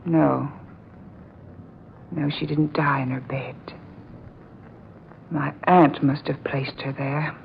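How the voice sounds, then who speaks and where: A well-bred young lady in a vintage radio chiller, inquisitive and alarmed. She speaks very slowly and deliberately, pausing for drama. The old recording is dull and clearly noisy. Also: sits low, fairly even intonation, heavily acted. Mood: weak, suspicious.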